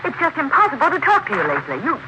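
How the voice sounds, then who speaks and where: A very high, widely swinging female voice as a distressed, nagging wife. She is reproachful and melodramatic, in a very noisy vintage 1940s radio recording. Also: wildly swooping pitch, very fast. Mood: bitter reproach. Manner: emotional, melodramatic. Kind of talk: 1940s radio drama acting.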